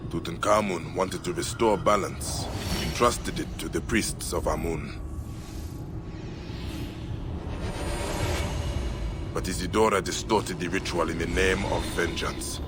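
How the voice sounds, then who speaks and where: An actor playing a stern, grieving and resolute warrior protector in a dramatic video game. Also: male voice, deep voice, normal speed, hardly any pauses, over music, close to an everyday voice. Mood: somber.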